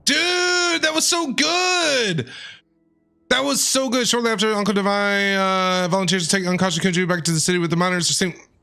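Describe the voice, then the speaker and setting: A man's voice: sits high, normal speed, pushed and bright. A man streaming a game, reacting casually and enthusiastically with exclamations. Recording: game music faintly behind the voice.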